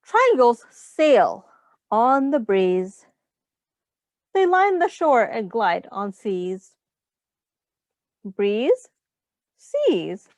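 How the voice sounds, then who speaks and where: A woman reads a picture book to young children at a slow pace. Her voice sits fairly high and moves in animated swoops. She explains things simply, like a teacher, and pauses to ask questions. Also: no room sound. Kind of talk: read-aloud storytime for young children.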